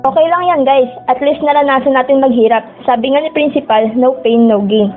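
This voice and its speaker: Very high-pitched, upbeat schoolgirl friend played by a woman. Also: fairly quick, soft background music, lightly acted. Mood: encouraging. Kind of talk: radio drama acting. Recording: tinny phone-like audio.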